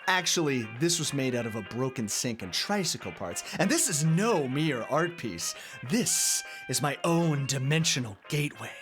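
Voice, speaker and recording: A man acts out an eccentric homemade-gadget inventor for an audio play. He is grandiose and proud, with a lively, wide-ranging delivery and dramatic pauses over clear music. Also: medium pitch, lightly acted. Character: eccentric, proud amateur inventor, old college buddy. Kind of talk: indie audio drama acting. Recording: music under the voice.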